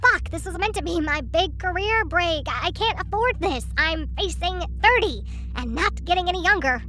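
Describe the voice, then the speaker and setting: A vain young time-traveling influencer in an audio drama, sarcastic and quick to whine. The voice sits high and rings bright and sharp. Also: female voice, expressive, heavily acted. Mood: whiny. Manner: flippant, sarcastic, whiny.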